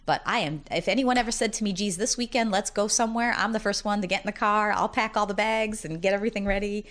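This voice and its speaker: A woman's voice: mid-range voice, quick pace, a bit bright. A woman in her forties, a college dean, chatting in an upbeat, talkative way during an interview. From United States, Massachusetts. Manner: talkative, upbeat storyteller who often laughs while talking. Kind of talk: casual interview conversation.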